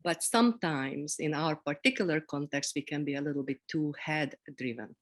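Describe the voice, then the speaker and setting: A middle-aged woman with a Hungarian accent, speaking reflectively as an interview guest, pausing between short phrases. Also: deep voice. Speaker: interview guest, seminary program director.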